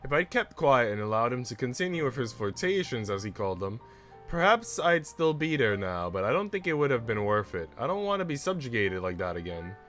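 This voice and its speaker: A man's voice: medium pitch, sing-song, fairly quick, fairly bright tone, faint music behind. A man voicing an indignant, wounded young maid in a visual novel, with earnest, formal wording. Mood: hurt, regretful. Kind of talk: visual novel read aloud.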